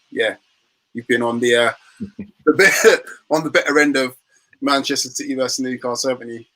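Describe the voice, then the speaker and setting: A man's voice: mid-range voice, bright, forceful tone, low-quality recording. A man with a London accent, a guest in a casual chat, talking in an easygoing, self-mocking way with hesitant fillers. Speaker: guest, football fan channel host.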